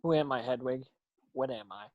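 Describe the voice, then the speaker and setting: A man's voice: mid-range voice, normal speed, no echo, phone-quality sound, lightly acted. A man reading an earnest, defensive young schoolboy wizard in a script read. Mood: troubled. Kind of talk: online script table read.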